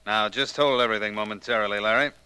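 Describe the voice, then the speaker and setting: Sharp, patronising crime boss. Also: male voice, fairly low pitch, fairly even intonation. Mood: smug, calm. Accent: American. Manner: measured, formal, condescending. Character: smooth, controlled crime boss, coolly patronising. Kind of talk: 1940s radio drama acting. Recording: hissy old 1940s radio recording.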